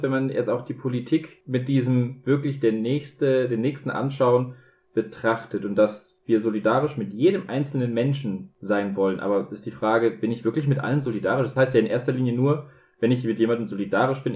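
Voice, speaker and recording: A young man from Bavaria talks through a reflective conversation and keeps raising open questions in a thoughtful way. His voice sits at a middling pitch. The room around him is echoey. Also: a little mellow.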